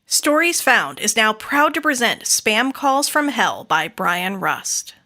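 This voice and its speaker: Animated, bright-voiced woman hosting. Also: a little high, takes it slowly, faint music behind. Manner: giggly, effusive. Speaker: podcast host. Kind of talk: casual interview chat.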